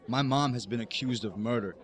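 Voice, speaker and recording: A young actor playing a resentful, distrustful teenage schoolboy in a naturalistic web drama. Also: male voice, medium pitch, brisk, lightly acted. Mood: bitter.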